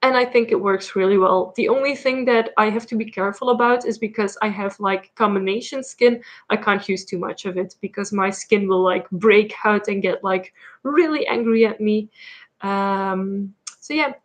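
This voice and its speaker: A woman's voice: mid-range voice, normal speed. Chatty Flemish woman on a livestream. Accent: Flemish Dutch. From Belgium. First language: Dutch. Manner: chatty, many ums and likes. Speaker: livestream host. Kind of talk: casual Q&A livestream. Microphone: laptop mic.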